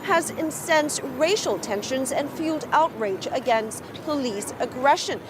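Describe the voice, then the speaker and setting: A woman news correspondent reporting from city streets in a measured, formal broadcast delivery. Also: sits high, animated, noisy background.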